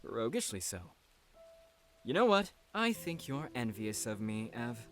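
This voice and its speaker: A woman's voice: extremely low pitch, big pitch swings, measured pace, over music, exaggerated voice. Woman acting a swooping, roguish young man. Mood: cocky, teasing. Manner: teasing, flamboyant. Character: roguish, cheeky young student troublemaker, male, free-spirited. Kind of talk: audio drama dialogue.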